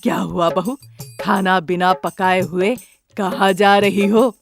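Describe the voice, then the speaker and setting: A woman acts out a sharp-witted older mother-in-law from an animated moral tale. The delivery is stern, scolding and suspicious, set lower than the storyteller's usual voice. Faint music runs underneath. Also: slow pace, gravelly.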